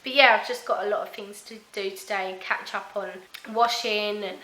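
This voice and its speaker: A woman, hoarse and croaky from a sore throat, chatting casually to her camera at home with plenty of ums. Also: mid-range voice, steady tone, sharp tone, poor audio. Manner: chatty, many ums, unhurried. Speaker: family vlogger. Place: at home, indoors.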